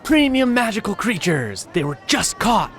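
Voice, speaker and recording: A man playing an excitable fantasy market creature seller in a game, exclaiming as he hawks. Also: high-pitched, moderate pace, soft background music, full character voice. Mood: excited pitch. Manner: hawking, exclaiming. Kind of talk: scripted game character acting.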